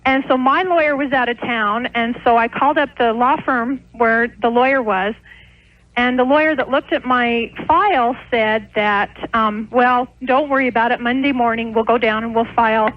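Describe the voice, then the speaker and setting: High-voiced American woman phoning a radio show. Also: moderate pace. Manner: hesitant, rambling explanation. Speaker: caller, small restaurant owner. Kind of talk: unscripted phone-in conversation. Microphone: telephone. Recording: dull lo-fi radio phone call.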